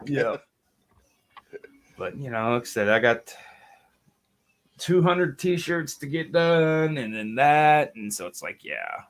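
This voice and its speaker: A man's voice: medium pitch, lively intonation, slow, pauses often. A man hosting a casual music talk show, telling stories in a laid-back way and acting out phone calls. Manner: laid-back storyteller, acts out phone calls in put-on voices. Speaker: podcast host. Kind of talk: casual chat, storytelling.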